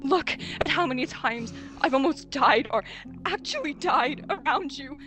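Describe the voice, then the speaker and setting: A woman's voice: sits high, animated, a little slow, over music, pitched above the performer's own voice. A woman playing an anguished, self-blaming young woman in a dramatic fan scene, earnest and pleading. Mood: pleading, resigned.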